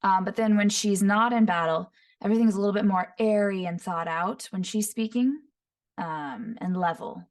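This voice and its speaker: A woman voice actor talks through how to copy character voices, in clipped descriptive phrases with frequent quick demos. Her voice sits mid-range in pitch. She is a little distant from the microphone. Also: darkish tone. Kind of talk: voice impression tutorial. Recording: voice somewhat far from the mic.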